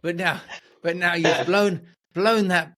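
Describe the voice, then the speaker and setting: A man hosting an interview podcast, talking conversationally in measured, reflective phrases. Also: high voice, fairly even intonation, a bit bright.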